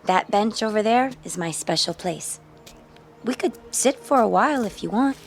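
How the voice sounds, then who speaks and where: Actress for radio drama schoolgirl: frank, wry, conversational, medium pitch, faint music behind. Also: lively intonation, normal speed, close to an everyday voice. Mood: soft, curious. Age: young adult. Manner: casual, wry, direct. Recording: music bed and vehicle sounds behind.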